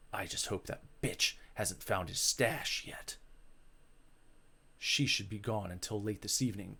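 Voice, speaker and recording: A man's voice: mid-range voice, lightly acted. A man playing a cocky, gloating, treacherous young woman thief in a visual novel, full of smug self-congratulation.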